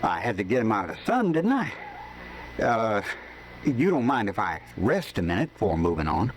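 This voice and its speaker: A lonely charming drifter sweet-talking low and soft, old hissy radio play. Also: male voice, big pitch swings, normal speed, low-quality recording. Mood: coaxing. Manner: soft, low, coaxing talk. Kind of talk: 1950s radio drama acting.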